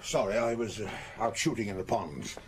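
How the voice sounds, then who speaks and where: An actor playing a brusque, matter-of-fact country doctor in a British radio drama, offhand and a little hesitant. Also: male voice, lowish voice, lively intonation, normal speed. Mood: brisk, offhand. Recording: old radio broadcast, faint noise.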